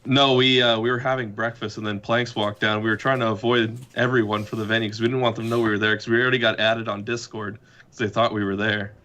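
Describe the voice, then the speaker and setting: A man's voice: on the low side, flat delivery, fast talker, pushed and bright, lo-fi sound. A man guest on a podcast, chatting casually and telling laid-back anecdotes, swearing freely and acting out what people said. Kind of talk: casual chat.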